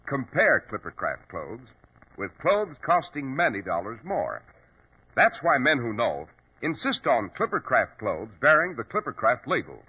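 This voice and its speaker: A man announcing and advertising in an emphatic, salesmanlike 1940s radio style. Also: mid-range voice. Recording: dull, rough vintage radio recording.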